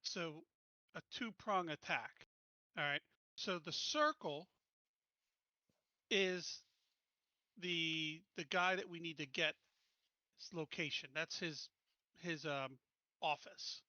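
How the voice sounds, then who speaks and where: A man playing a tabletop game, talking through plans casually in deliberate, stop-and-start phrases. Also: a little high, bright, forceful tone, no room sound, dull audio. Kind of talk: casual game planning talk.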